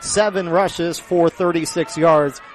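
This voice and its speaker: A man's voice: fairly high pitch, steady tone, slow, slight background noise. A man giving live radio play-by-play commentary at a sports venue, projecting his voice in urgent, clipped phrases.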